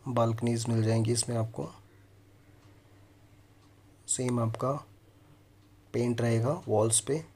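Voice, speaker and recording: An Indian man in his thirties hosts a property tour video. He explains features directly to the viewer in the manner of a consultant, with a medium-pitched voice. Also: flat delivery, slow pace, long pauses, warm tone. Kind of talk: property walkthrough presentation mixing Hindi and English.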